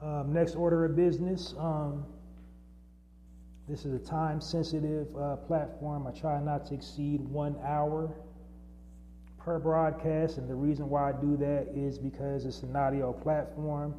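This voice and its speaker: A man's voice: a little high, level tone, unhurried, dark tone. A man hosting his own podcast, talking off the cuff in a solo monologue with many fillers and restarts. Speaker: podcast host. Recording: long reverb and faint hum.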